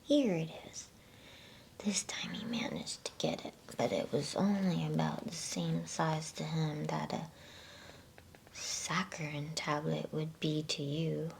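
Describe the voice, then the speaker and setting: A woman reading a bedtime story as ASMR narration in a breathy whisper. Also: low-pitched, steady tone, measured pace, a little hiss or hum.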